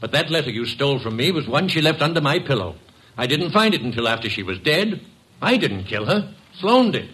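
Rich, indignant husband; tense bright tone, very animated, blustering radio melodrama. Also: male voice, on the high side, a little fast, poor audio. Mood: defensive, agitated. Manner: blustering, stammers when rattled. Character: demanding, indignant wealthy widower, impatient and blustering. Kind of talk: old-time radio detective drama acting.

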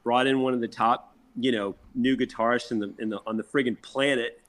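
A man's voice: medium pitch, steady tone, a little fast, a bit bright. A man talking casually in an interview as a rock band member, telling things in an emphatic, boastful, salesmanlike way.

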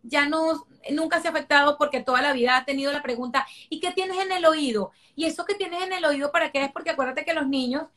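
A Venezuelan woman in her forties with a bright, high voice. She talks emphatically as an interview guest, stressing points by repeating them. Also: fast talker, few pauses. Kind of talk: conversational interview.

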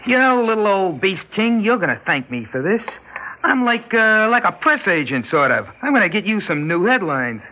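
An actor playing a cocky, menacing young gang leader, taunting in swaggering slang in an old radio drama. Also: male voice, high-pitched, very animated, moderate pace. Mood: taunting menace. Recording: tinny band-limited old radio, loud noise.

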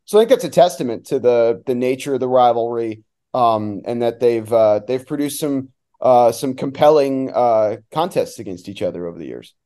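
A man's voice: medium pitch, animated, moderate pace, mellow. A man who works as a soccer announcer, chatting casually and enthusiastically in detail as a podcast guest. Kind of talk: casual sports analysis chat.

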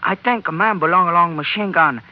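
A man playing a helpful Chinese trader in a vintage radio adventure, with a put-on Chinese accent and halting, deferential pidgin. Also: sits high, close to an everyday voice. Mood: solicitous. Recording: dull old radio broadcast.

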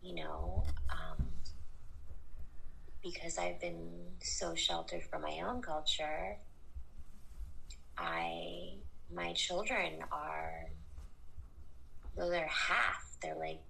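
A woman speaking as a guest in a casual conversation, soft and hesitant, trailing off into whispers. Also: on the low side, lively intonation, very slow, gravelly, long pauses, rough recording. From United States.

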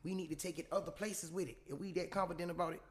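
A man's voice: steady tone, racing. A young man. He is an independent rap artist talking casually in an interview, full of street slang, calling people "bro" and checking in with "you know what I'm saying". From Portsmouth, Virginia. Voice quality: high-pitched voice.

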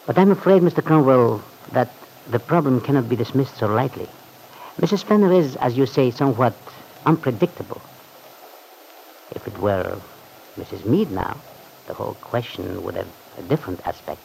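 An actor playing a cautious, worried manservant, formal and discreet, with a creaky voice, in an old-time radio serial. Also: male voice, medium pitch, lively intonation, normal speed, darkish tone. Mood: worried caution. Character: deferential, cautious, worried manservant advising his employer. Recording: faintly noisy old radio recording.

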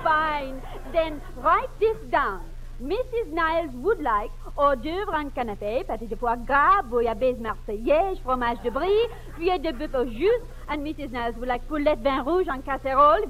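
An actress playing a coquettish French maid with a French accent, theatrical, in 1940s radio comedy. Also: female voice, high voice, a bit bright. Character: flirtatious French maid, coy and effusive. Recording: noisy vintage radio recording.

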